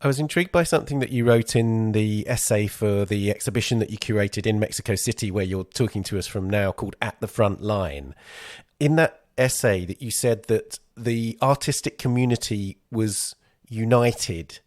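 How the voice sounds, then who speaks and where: A man hosting an art podcast, asking measured, deliberate interview questions. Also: on the low side, a little mellow, dry recording, studio quality. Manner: deliberate, reads a quote aloud.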